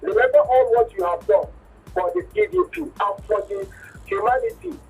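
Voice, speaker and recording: A man phones into a radio program, emphatic and pleading, tripping over repeated words. His voice is very high and swings widely in pitch. He sounds distant on the line, with faint music beneath. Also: big pitch swings, normal speed, darkish tone, breathy edge. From Nigeria. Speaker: caller.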